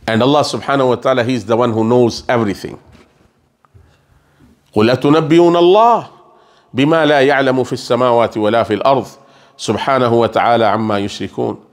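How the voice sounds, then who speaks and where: A man's voice: medium pitch, very fast. Man, middle-aged, religious scholar, earnest sermon, repeats phrases, stand mic, mosque room. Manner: explains earnestly, repeats phrases, gestures with hands. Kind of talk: sermon lecture with Quran recitation. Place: mosque lecture room, indoors. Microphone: stand mic on table.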